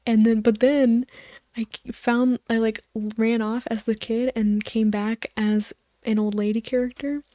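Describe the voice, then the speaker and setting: A woman with a soft voice, rambling over gameplay in a sleep-aid video, meandering and sometimes losing her thread. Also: on the high side, flat delivery, measured pace, no echo, studio quality. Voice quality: soft voice, drifting into whispers. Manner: soft, meandering, loses her train of thought. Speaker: gaming video host.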